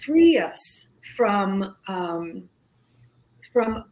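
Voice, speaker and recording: A woman whose first language is Greek, a guest author on a radio interview, speaking reflectively with many pauses and fillers. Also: medium pitch, big pitch swings, darkish tone, poor audio. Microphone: studio microphone.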